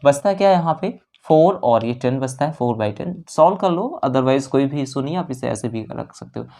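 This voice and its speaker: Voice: medium pitch, animated, normal speed, dark tone. An Indian maths teacher explains an exam problem step by step, repeating phrases and figures, mixing in English terms and often checking in. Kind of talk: exam-prep maths lesson, working through a problem step by step.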